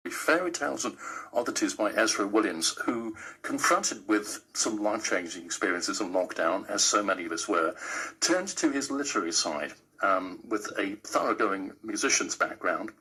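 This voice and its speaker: A man's voice: on the low side, expressive, moderate pace, pushed and bright, husky, poor audio. A man presenting a book programme in wordy, florid phrasing. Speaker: host, interviewer. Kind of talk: radio-style book show presenting.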